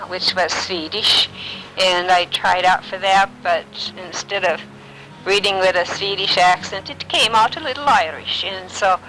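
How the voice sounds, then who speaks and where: An elderly woman from Illinois in her nineties, gently recalling her life in an unhurried way, often pausing with um and uh. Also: lowish voice, rough recording. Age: older. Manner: gentle, unhurried storytelling with many ums and uhs. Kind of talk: reminiscing, answering memory prompts.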